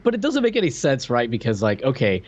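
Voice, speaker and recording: A man co-hosting a podcast, casually explaining details of a TV episode in a halting, explanatory way. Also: mid-range voice, wildly swooping pitch. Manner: halting, explanatory, with quoted asides. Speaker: podcast co-host.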